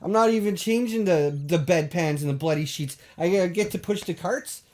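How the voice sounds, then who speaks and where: A man hosting a call-in show, bantering deadpan with a caller, full of hesitations and restarts. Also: high-pitched, moderate pace. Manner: hesitant, full of ums and restarts, straight-faced.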